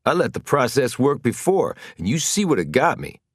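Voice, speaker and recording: A man's voice: medium pitch, very animated, moderate pace, dry recording, studio quality, voice pushed up. A man voicing a bitter, wrongly convicted former football star in an audiobook, blunt, slangy and frustrated. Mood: angry, frustrated. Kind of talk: dramatic audiobook character dialogue.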